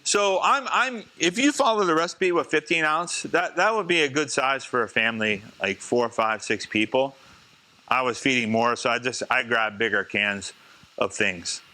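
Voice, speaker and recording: Male chef, bright voice, slightly hoarse, chatty live cooking class. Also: medium pitch, moderate pace. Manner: casual, chatty, talks to the class. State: slightly hoarse, losing his voice.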